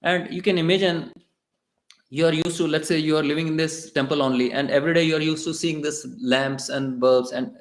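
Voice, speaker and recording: A man with an Indian English accent lectures in a temple hall, a bit high in pitch and chatty, often pausing and restarting his sentences. The room around him rings with echo. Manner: conversational, with hesitations and restarts. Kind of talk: devotional lecture.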